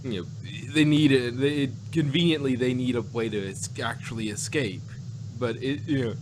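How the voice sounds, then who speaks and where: Rambling man in noisy recording. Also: mid-range voice. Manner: rambling, halting, acts out imagined reactions. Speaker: podcast co-host reviewing audio dramas. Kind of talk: casual unscripted review chat.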